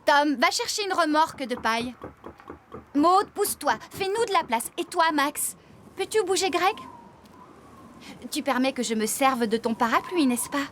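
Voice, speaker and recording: A woman playing a brisk, kindly woman farmer who takes charge in a children's cartoon. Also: high-pitched, lively intonation, a bit bright, slight background noise. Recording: music behind the voice.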